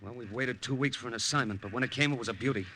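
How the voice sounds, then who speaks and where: A man's voice: mid-range voice, rapid-fire, pushed and bright, natural voice. Plainspoken American wartime agent, a male actor, faintly noisy. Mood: earnest. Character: earnest American secret agent, captain in jungle war. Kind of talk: 1950s radio drama acting and narration. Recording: old radio recording, faint noise.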